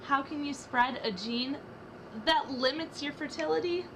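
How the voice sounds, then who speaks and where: A young woman with a high, light voice reads rap verses in a rhythmic, dramatic way, swapping lines back and forth with a partner. The homemade recording carries a steady wash of hiss and room noise underneath her. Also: unhurried. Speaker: student reader. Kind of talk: dramatic reading of rap lyrics.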